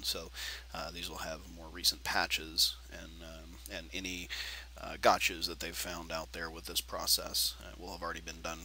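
A man's voice: low-pitched, a little hiss or hum, poor audio. A man hosting a tech screencast tutorial, talking casually with lots of fillers, sounding nasal and stuffy from allergies. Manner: relaxed, rambling with many fillers.

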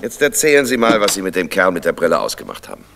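An actor playing a businesslike middle-aged police inspector in a crime radio play, matter-of-fact and authoritative. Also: male voice, deep voice, wildly swooping pitch, quick pace, creaky, noisy background, exaggerated voice. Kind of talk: 1970s German crime radio play acting.

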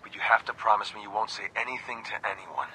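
A man's voice: on the low side, fast, bright, forceful tone, a little hiss or hum, poor audio. An actor playing a grave, secretive military informant in a video game, confiding and guarded as he records an audio log. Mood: urgent, confiding. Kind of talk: scripted game dialogue, audio log narration.

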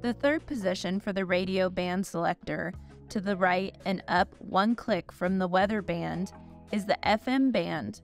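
A woman narrates an instructional demonstration in a clear, steady voice. She explains each step methodically, in a scripted style. Faint music plays quietly behind her. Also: on the low side, a little slow.

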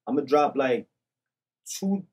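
A man's voice: mid-range voice, expressive, takes it slowly, darkish tone, breathy edge. A young man chatting casually as a podcast guest, laid-back and repeating short phrases, on a studio microphone. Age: young adult. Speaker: podcast guest, music artist. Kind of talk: casual chat. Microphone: boom-arm studio microphone with headset.

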